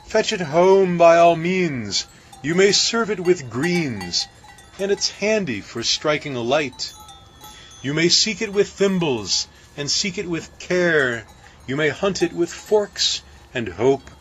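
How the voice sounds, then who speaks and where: A man's voice: a little high, sing-song, takes it slowly, a bit bright, soft background music, voice pushed up, heavily acted. A man doing a tearful, timid baker. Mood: tearful pleading. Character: tearful timid male baker, anxious and rambling. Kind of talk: storybook poem reading.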